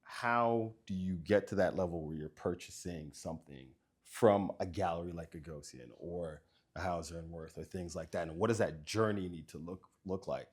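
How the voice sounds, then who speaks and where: A man with a very deep voice hosts a relaxed interview. He talks in a laid-back way, repeats words, and fills pauses with fillers. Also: husky. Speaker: interview host.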